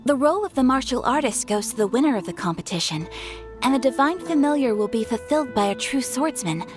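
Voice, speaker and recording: A woman's voice: high-pitched, expressive, fast talker, over music. An actress playing a refined, courteous young noblewoman with a formal, poised, explanatory manner in a video game. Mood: composed, explanatory.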